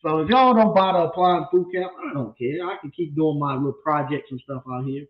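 A man's voice: on the high side, a little fast. A man in his fifties hosting a casual livestream from a small home studio, talking bluntly in a loose, rambling way with lots of restarts. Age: 50s. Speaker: appliance repair instructor and channel host. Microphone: desk mic on a boom arm. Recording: echoey room, tinny phone-like sound.

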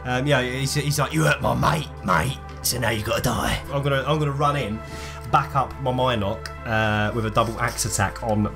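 A man's voice: medium pitch, moderate pace. A British man playing a tabletop role-playing game, talking in casual, sweary, blokey banter. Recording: music playing under the voice.

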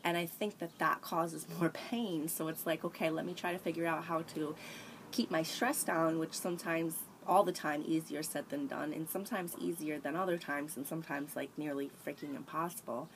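A woman's voice: sits low, fairly even intonation, normal speed, a little hiss or hum. A woman in her thirties talking candidly and rambling in a casual home vlog, recorded on a tablet in a small sunny room. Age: 30s. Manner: rambling, candid, frequent restarts and sighs. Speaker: vlogger. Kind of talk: casual confessional chat. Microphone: tablet camera at arm's length.

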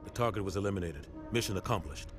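An actor playing a weary, principled middle-aged military captain, speaking in a measured, grave way in a video game drama. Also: male voice, low-pitched, expressive, music in the background. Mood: grave, bitter.

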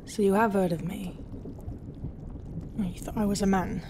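A woman's voice: mid-range voice, steady tone, moderate pace, close to an everyday voice. A woman playing a haughty, bitter pirate captain in an ASMR roleplay, scornful and often dropping into whispers. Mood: scornful, cold. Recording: clear ambient noise behind the voice.

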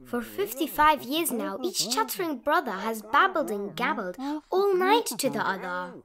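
High-voiced woman reading a rhyming storybook. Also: sing-song, moderate pace, crowd noise. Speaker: storybook reader. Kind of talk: read-aloud of a rhyming children's picture book.

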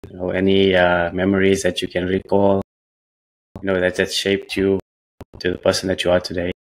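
Deep flat-voiced male host. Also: low-quality recording. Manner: halting, rephrases questions. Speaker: podcast host. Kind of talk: video call interview. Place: indoor room. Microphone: headset.

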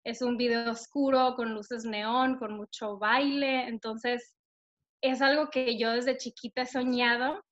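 A woman's voice: on the high side, steady tone, unhurried, dull audio. A young woman from northern Mexico with a northern Mexican accent, chatting upbeat with many fillers in an interview over a video call. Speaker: singer-songwriter guest. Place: bright room at home. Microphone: laptop camera on video call.

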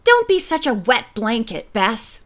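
A woman's voice: a little high, sing-song, unhurried, a bit bright. A woman voicing George, a blunt, impatient and scornful young woman.

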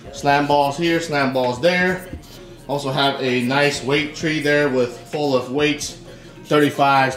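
A middle-aged man talks casually to a camera like a vlogger showing people around. His delivery is halting, feeling for the next word. The sound bounces off the hard walls of a garage, and music plays underneath. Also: a little high, a bit bright. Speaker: vlogger, channel host. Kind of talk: casual home tour vlog narration. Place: small garage home gym, indoors. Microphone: camera mic, handheld or a few feet away. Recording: echoey garage with music behind.

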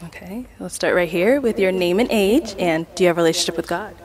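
A woman's voice: lowish voice, fast, crowd noise. An American woman presenting a dating show, casually putting questions to contestants.

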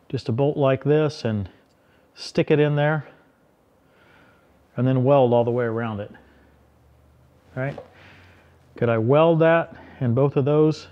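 A man's voice: mid-range voice, darkish tone, muffled recording. A middle-aged man hosting a tractor repair video, explaining a fix to the camera in a casual, thinking-aloud way with frequent restarts, recorded close up in a workshop. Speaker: tractor repair channel host. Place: workshop beside a tractor, indoors.

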